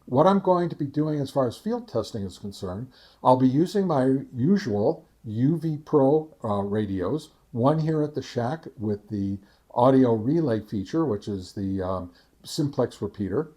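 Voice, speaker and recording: A man hosting an informal product test, explaining in a halting way with frequent ums. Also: mid-range voice, lively intonation, dark tone, slightly rough. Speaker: ham radio video reviewer, host.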